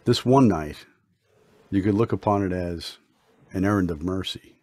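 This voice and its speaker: A man playing a solemn, gentle, idealistic young intern doctor in old-time radio style, with formal, earnest phrasing. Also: sits low, normal speed. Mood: solemn, pleading.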